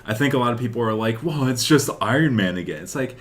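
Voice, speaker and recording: A man's voice: mid-range voice, expressive, quick pace. A man co-hosting a podcast, chatting casually and enthusiastically about games and film news, with strong opinions. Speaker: podcast co-host.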